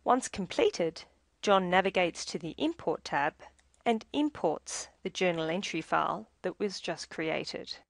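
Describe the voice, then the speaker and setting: Low-pitched woman narrating a software tutorial, dry sound. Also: animated, a little slow, gravelly, no room sound. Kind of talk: scripted instructional narration.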